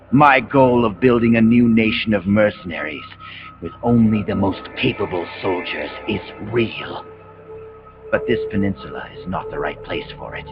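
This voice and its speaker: A low voiced american villain commander, grand calm speeches, muffled game audio w music behind. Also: male voice. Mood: grandiose. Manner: solemn, grandiose, deliberate speechmaking. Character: megalomaniac male military commander villain, calm, messianic, calculating. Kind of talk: dramatic video game cutscene monologue.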